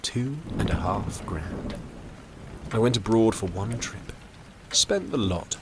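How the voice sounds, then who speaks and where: An actor playing a worldly, unsentimental older doctor in an audio drama, measured and dryly sardonic. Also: male voice, fairly low pitch, lively intonation, background noise. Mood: rueful. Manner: measured, dry, reasoning.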